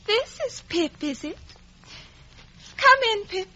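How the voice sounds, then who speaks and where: A woman's voice: extremely high pitch, animated, slow pace, bright, forceful tone, heavily acted. An actress playing a haughty, scornful young girl with clipped speech in a 1950s radio drama. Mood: haughty, teasing. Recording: dull vintage radio broadcast.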